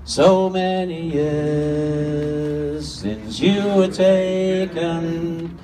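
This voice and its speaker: A man's voice: fairly high pitch, extremely slow, distant mic, over music. A middle-aged man hosting and leading an informal tribute at a public memorial gathering, in speech and unaccompanied song. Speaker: event host and lead singer at a memorial.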